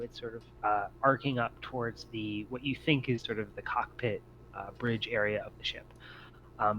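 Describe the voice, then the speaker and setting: A man acting as game master, improvising tabletop game narration in halting, piecemeal descriptions. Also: mid-range voice, fairly bright tone. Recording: dull lo-fi audio, noisy background.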